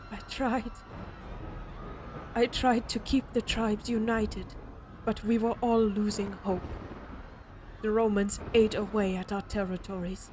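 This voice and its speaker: A woman playing a defiant, vengeful Germanic warrior in a scripted audio roleplay, narrating solemnly and deliberately with weighty pauses. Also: medium pitch, noisy background, lightly acted. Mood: grave, weary. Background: ambient sound effects.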